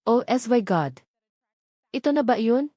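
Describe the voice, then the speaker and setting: A woman's voice: on the high side, expressive, a little slow, mellow, no room sound. Audio drama role: frightened young mother-to-be played by a female narrator, distressed, exclamatory, dry sound. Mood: alarmed. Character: frightened young pregnant woman, alone in labour.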